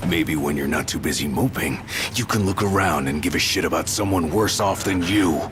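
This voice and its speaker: An actor playing a gruff, hot-tempered bodyguard in a video game, blunt and confrontational. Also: male voice, low-pitched, normal speed, noisy background, close to an everyday voice. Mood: angry, scolding. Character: gruff, hot-tempered big male bodyguard scolding his friend. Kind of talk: dramatic video game acting.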